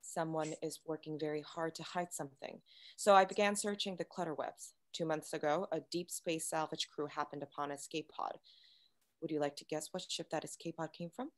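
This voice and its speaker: Woman acting low-voiced, composed female android. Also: steady tone, lightly acted. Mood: cool, assured. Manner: measured, matter-of-fact, coolly explanatory. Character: composed, secretive female android science officer. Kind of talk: sci-fi screenplay table read.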